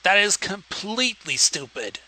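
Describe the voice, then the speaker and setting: A man's voice: high voice, sing-song, measured pace, sharp tone, poor audio. A man giving blunt, crude, casual reaction commentary as co-host of a story reading.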